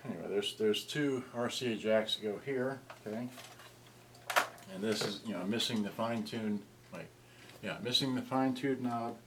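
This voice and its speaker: A man giving a casual, unscripted gadget review in a halting, rambling way, often trailing off mid-thought. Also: lowish voice. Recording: distant mic with clear noise and clicks.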